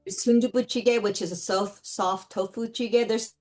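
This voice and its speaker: A woman's voice: fairly high pitch, fairly even intonation, normal speed, darkish tone. A middle-aged woman born in Korea, explaining in casual conversation full of fillers and restarts. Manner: rambling, many fillers and restarts. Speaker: interviewee.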